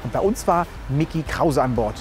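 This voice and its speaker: A man presents a travel magazine with an upbeat, chatty delivery. His voice sits fairly high and swings a lot in pitch, with music playing clearly behind him. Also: lively intonation, moderate pace.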